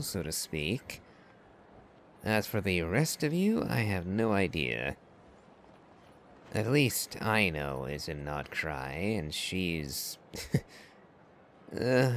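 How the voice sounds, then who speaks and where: Deep, slow, wry man. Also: slight background noise, full character voice. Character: laid-back wry man, hesitant and musing. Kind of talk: video game voice acting.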